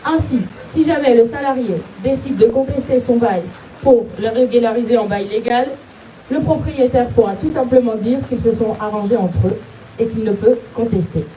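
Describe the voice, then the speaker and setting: A woman co-leading a tongue-in-cheek guided tour outdoors, speaking in a measured, presenter-like way. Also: on the high side, mellow. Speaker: co-guide of a mock exhibition. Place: outdoors in front of a museum. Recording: distant mic with faint background noise.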